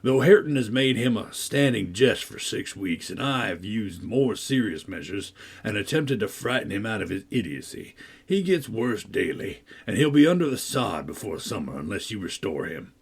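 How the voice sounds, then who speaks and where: A man reading a novel aloud, voicing a deep-voiced, menacing villain who sneers, coaxes and threatens. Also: sing-song, normal speed, slightly rough, exaggerated voice. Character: menacing, brooding older man, a manipulative villain.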